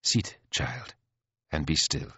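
Guy doing a calm bossy older queen in an audiobook, deep soft voice, scolding patiently, bit threatening. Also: slow pace, breathy edge, no echo, highs cut off, heavily acted. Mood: calm chiding. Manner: patient, chiding, like a teacher with a naughty pupil. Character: mild, imperious elder queen, calmly menacing.

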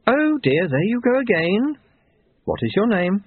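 A man's voice: high voice, big pitch swings, a little slow, slight background noise, voice pushed up. A man voicing a stern, commanding young woman with formal, precise, withering speech in an audiobook. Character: stern, brisk, slightly built young woman, severe and commanding. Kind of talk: audiobook character voice. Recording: narrow tinny phone-like sound.

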